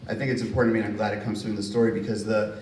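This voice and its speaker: A man from New Jersey, an author at a university reading, telling lively anecdotes and acting out quotes with bursts of laughter. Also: fairly low pitch, racing, slight background noise. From Hudson County, New Jersey. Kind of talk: casual storytelling in a Q&A. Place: university reading event hall. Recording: roomy, distant, faint ambience.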